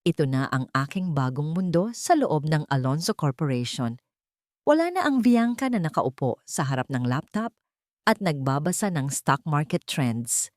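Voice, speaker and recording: A woman's voice: sits low, very animated, normal speed, darkish tone, no echo, studio quality. A woman narrating a scripted first-person audio drama in an emotive, theatrical manner.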